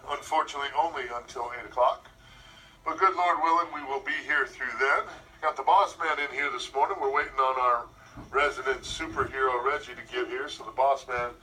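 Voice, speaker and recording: A man's voice: a little high, bright, forceful tone, distant mic, a little hiss or hum, low-quality recording. A man hosting a radio show, talking in a casual interview style. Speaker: radio host.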